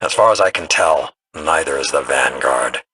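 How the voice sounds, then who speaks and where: An actor playing a grim, hard-line veteran warlord in a video game, stern, disdainful and clipped. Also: male voice, rumbling low, normal speed, sharp tone, a little hiss or hum. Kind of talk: dramatic video game dialogue.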